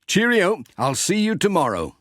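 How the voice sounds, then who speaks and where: A man's voice: sits high, huge pitch swings, moderate pace, no echo, studio quality, pitched above the performer's own voice, exaggerated voice. A man playing a cheery country postman. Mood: cheerful. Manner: cheery, warm storybook dialogue.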